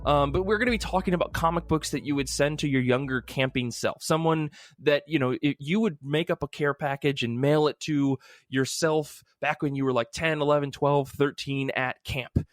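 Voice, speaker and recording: A man's voice: fairly high pitch, moderate pace, dry recording, clean studio sound. A man hosting a podcast, chatting casually and enthusiastically in a rambling, informal way. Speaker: podcast host. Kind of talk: casual comic book podcast chat.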